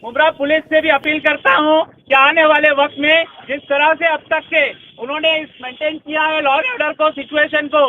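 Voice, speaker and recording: A man's voice: extremely high pitch, flat delivery, moderate pace, few pauses, no room sound, narrow, tinny audio. A man with an Indian accent giving a fiery public speech, emphatic and defiant, repeating phrases for force. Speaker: organisation leader addressing supporters.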